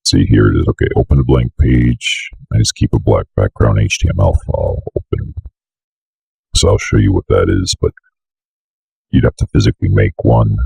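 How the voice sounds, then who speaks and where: A man's voice: a bass voice, steady tone, normal speed, creaky, a little mellow, husky, no room sound, poor audio. A young man giving a casual screen-share tutorial, rambling and thinking aloud as he works. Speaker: tutorial presenter. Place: indoors at a computer desk.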